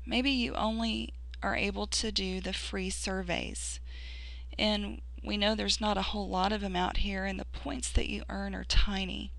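A woman's voice: mid-range voice, gravelly, a bit bright, no echo, low-quality recording. A woman who has lost her voice, giving a casual screen-recorded tutorial as a sponsor, earnest and encouraging with frequent fillers and restarts. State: losing her voice.

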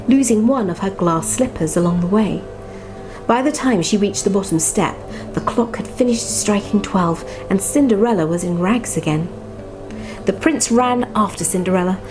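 A woman reads a bedtime story in a soft, expressive storyteller's voice at a middle pitch. She slips into chatty little asides as she goes. Music plays clearly behind her. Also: rough recording.

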